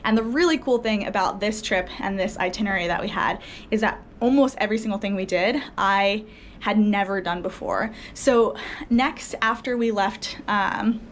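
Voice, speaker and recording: Young female travel vlogger, casual and gushing, average pitch, close camera, slight hiss. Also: moderate pace. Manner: chatty and enthusiastic, lots of fillers. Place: indoors by a window.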